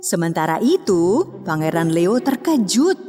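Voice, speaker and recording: Lively woman narrating a cartoon tale. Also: on the low side. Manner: calm, measured. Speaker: storyteller. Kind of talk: scripted storybook narration. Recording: long reverb with music behind.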